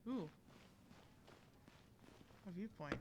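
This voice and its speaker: Low-voiced woman chatting while gaming. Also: lively intonation, very slow, heavy background noise. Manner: rambling, playfully talks to the game. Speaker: game streamer. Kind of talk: casual live gameplay commentary. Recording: game music behind the voice. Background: loud video game music.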